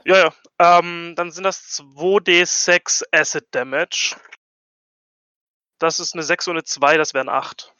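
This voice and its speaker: A man with a bright, fairly high voice plays a tabletop game at an unhurried pace. He is playful and casual, slipping English phrases in, on slightly dull audio. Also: little pitch movement, clear, resonant tone, no echo. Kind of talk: casual chat during a role-playing game.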